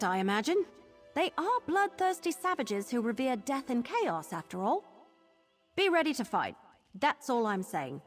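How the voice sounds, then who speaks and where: An actress playing a brisk, wary adventuring companion in a video game, conversational and eager to get moving. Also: female voice, fairly high pitch. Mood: wary, impatient. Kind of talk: scripted video game dialogue. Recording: music behind the voice.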